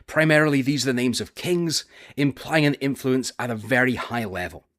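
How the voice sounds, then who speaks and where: A man's voice: mid-range voice, normal speed, fairly bright tone. A man with a Scottish accent, presenting a scripted history video in a careful, explanatory way. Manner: careful, explanatory, with occasional filler "uh". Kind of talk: scripted educational narration.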